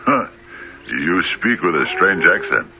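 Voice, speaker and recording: An actor playing a boastful, officious village hunter in a radio drama, huffing and suspicious, then commanding. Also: male voice, deep voice, expressive, a little slow. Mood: suspicious, curious. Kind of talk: 1970s radio drama acting. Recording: muffled, noisy old radio broadcast.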